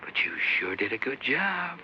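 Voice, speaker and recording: An actor playing a sheepish, easygoing husband in a 1950s radio sketch, folksy, placating and earnest. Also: male voice, mid-range voice, big pitch swings, normal speed, bright, forceful tone. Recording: dull, faintly noisy 1950s radio recording.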